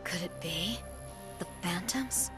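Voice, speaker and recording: A woman playing a cool, knowing, condescending space-force insider in a video game, speaking in a measured, superior way. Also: fairly low pitch. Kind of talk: dramatic video game acting. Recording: loud game music behind the voice.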